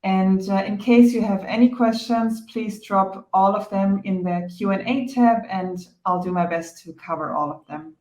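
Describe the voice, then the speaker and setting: A woman with a German accent opens and steers an online training session, friendly and organized. Her middle-pitched voice carries some room echo over the video call, and small fillers dot her speech. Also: moderate pace, hardly any pauses, a little mellow. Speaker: product marketing host. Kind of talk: webinar presenting. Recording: echoey room.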